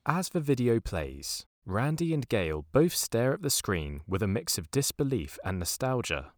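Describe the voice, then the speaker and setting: A man doing scripted, dryly comic narration for an audio fiction show, with a deadpan manner. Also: mid-range voice, a little slow, no echo, studio quality. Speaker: narrator and credits reader.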